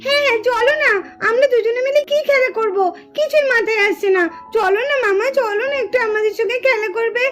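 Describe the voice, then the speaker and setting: A woman voicing eager, playful small children in a puppet cartoon, pleading and repeating themselves excitedly. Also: extremely high pitch, fairly quick, few pauses, faint music behind. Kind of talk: sing-song children's puppet cartoon acting.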